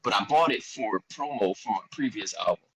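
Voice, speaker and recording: Laid-back Philly man with a low voice. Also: brisk, crowd noise, low-quality recording. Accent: Philadelphia. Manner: laid-back, animated storyteller who acts out quotes. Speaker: podcast guest, rapper. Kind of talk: casual storytelling chat.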